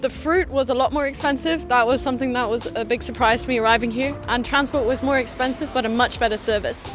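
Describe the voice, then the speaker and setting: A young woman from Ireland, an exchange student giving measured, articulate interview answers. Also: on the high side, over music. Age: young adult.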